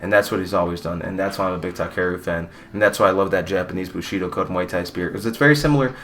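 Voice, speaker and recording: A man's voice: deep voice, very fast, a bit bright. A young man from Mexico giving a blunt, rambling, opinionated fight recap with casual swearing. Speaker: combat sports YouTuber.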